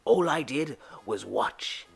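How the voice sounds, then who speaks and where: A woman playing a sarcastic, sinister male clown villain in an audio drama, with a creaky voice and mocking, drawn-out delivery that swings from murmurs to shouts. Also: very deep voice, expressive, faint music behind, heavily acted. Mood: cold, gloating.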